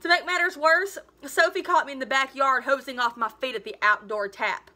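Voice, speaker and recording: A woman in her thirties reading a story aloud like a teacher, with emphatic, expressive delivery. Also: sits high, brisk. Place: living room at home, indoors.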